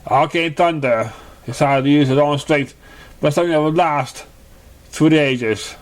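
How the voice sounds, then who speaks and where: A man narrating a fantasy fan story in an amateur read-aloud style, halting and stumbling over words. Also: on the high side.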